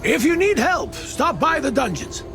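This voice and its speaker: A swaggering executioner in a medieval fantasy game, drawling slowly in a creaky voice. His pitch rides high and lurches wildly, menacing and darkly jovial. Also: sing-song, music in the background, exaggerated voice. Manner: drawling, theatrical. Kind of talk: video game voice acting.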